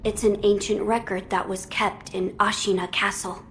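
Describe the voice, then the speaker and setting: A woman voicing a young noble boy lord in a video game, formal, measured and earnest. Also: mid-range voice, level tone, a bit bright, a little hiss or hum, close to an everyday voice. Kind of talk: video game cutscene acting.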